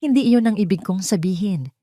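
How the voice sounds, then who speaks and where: A woman's voice: medium pitch, expressive, brisk, dark tone, no room sound, pitched above the performer's own voice, natural voice. Woman doing a heartbroken rich guy in an audio love story, guilty, pleading, dry sound. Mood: doubtful. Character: betrayed rich man, wounded, guilt-ridden, despairing son.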